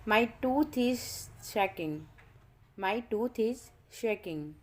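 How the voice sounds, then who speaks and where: Female English tutor, unhurried, clear sentence drills for beginners. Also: on the high side, animated, a little mellow, a little hiss or hum. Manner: reads each sentence twice, measured and deliberate. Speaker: English teacher. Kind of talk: slow repeat-after-me vocabulary drill.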